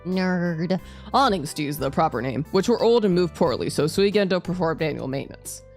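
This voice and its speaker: Lively, lowish-voiced woman streaming a game. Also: moderate pace, soft background music. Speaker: VTuber streamer. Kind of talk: reading visual novel narration aloud with casual reactions.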